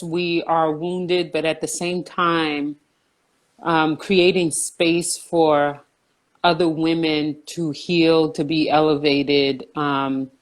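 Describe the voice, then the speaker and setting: A woman in her forties from New Jersey, a counselor speaking in a measured, earnest way with frequent ums. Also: low-pitched. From New Jersey, USA. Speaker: guest counselor. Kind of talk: casual video chat interview.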